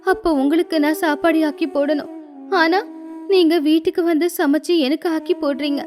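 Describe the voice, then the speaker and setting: A woman's voice: high-pitched, fairly even intonation, moderate pace, music in the background, natural voice. A woman voicing a sorrowful, devoted bedridden wife in a storybook tale, plaintive and apologetic. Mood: tearful, sad.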